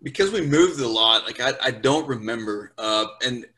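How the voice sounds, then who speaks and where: Young man, bright voice. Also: mid-range voice, lively intonation, moderate pace. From Brazil, later Portugal, England and Louisiana. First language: Portuguese. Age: 20s. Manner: informal, swearing, checks in with "right?". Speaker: podcast guest, US Marine sergeant. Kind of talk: casual interview chat.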